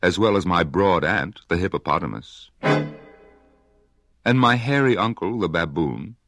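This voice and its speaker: A man's voice: low-pitched, animated, takes it slowly, over music, exaggerated voice. A man voicing a polite, eager young elephant calf in a storybook reading. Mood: polite pleading.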